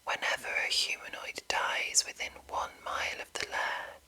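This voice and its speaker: A woman whispering in a breathy, unvoiced ASMR style, reading deliberately with pauses between short phrases. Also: pushed and bright. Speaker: ASMR host. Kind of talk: whispered ASMR reading of fantasy game rules.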